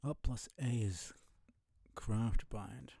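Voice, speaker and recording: A young man streaming a video game, muttering low-key commentary to himself and swearing when things go wrong. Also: extremely slow, vocal fry, mellow, breathy edge, no echo, clean studio sound. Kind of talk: casual gameplay commentary.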